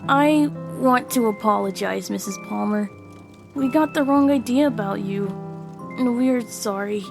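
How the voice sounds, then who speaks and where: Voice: medium pitch, measured pace, vocal fry, over music. A performer playing an earnest, suspicious middle school boy in an audio drama, anxious and politely apologetic. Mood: polite, sheepish. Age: child character, middle school.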